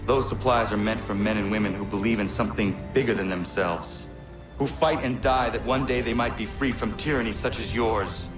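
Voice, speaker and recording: A man playing a stern, righteous young revolutionary in a video game, forceful and earnest as he makes demands. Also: lowish voice, moderate pace, music in the background, lightly acted. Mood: stern, demanding. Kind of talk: cinematic video game acting.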